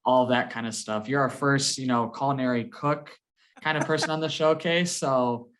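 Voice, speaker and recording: A man's voice: medium pitch, steady tone, normal speed, noisy background. A man hosting a casual interview, friendly and loose, with lots of "you know" and "um". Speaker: host.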